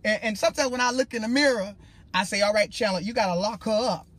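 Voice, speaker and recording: A man with a naturally high-pitched, bright, ringing voice talks straight to his livestream audience. He preaches in a loose, emphatic way, rambling and circling back as he speaks his mind. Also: fast. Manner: emphatic, rambling, addresses viewers directly. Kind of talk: casual livestream talk.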